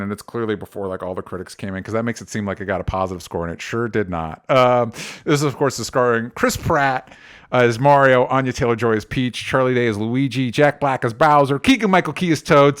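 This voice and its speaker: A male podcast host with an average-pitched voice. He talks in a casual, conversational way and pushes his opinions with emphasis. His language is unfiltered and sweary. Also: a little fast. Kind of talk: casual opinionated movie chat.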